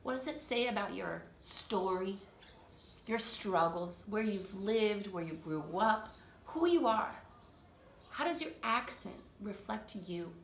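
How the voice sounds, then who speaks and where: A woman's voice: medium pitch, lively intonation, slow, vocal fry, a little hiss or hum. A woman in her thirties, a language coach, speaking her mind earnestly in a personal vlog and restarting as she goes. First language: English. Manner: earnest, talks through restarts. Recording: echoey room, faint ambience.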